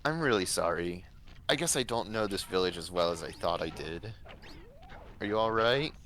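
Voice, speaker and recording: A gentle young woman played by a man, tender and reassuring in a storybook romance. The pitch moves in a lively way and sits a bit above the reader's deep voice, with clear noise behind it. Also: moderate pace. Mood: apologetic, concerned. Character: Alice, gentle, earnest young woman in love.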